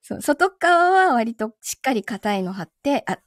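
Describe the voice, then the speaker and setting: A woman's voice: on the high side, big pitch swings, normal speed, no echo, clean studio sound. A woman chatting casually on a live stream as its host, often laughing. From Yokohama area, Japan. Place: indoors in a sound-absorbing foam-lined booth.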